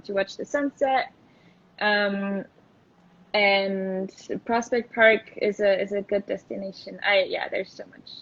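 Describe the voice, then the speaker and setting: A young woman from Austria, a guest in a casual interview chat, thoughtful and full of fillers and restarts. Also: medium pitch. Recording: lo-fi video call audio.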